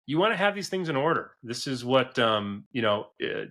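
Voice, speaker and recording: A man's voice: medium pitch, very animated, normal speed, a bit bright. A man of forty hosting a business advice podcast in a conversational, earnest and emphatic way, often checking in with "right?". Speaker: podcast host, business advisor.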